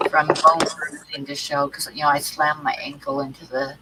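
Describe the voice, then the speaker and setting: A woman's voice: sits low, level tone, normal speed, very noisy. A woman with a stuffy cold hosting an art livestream, chatting casually with many ums and restarts. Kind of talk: casual chat to live chat.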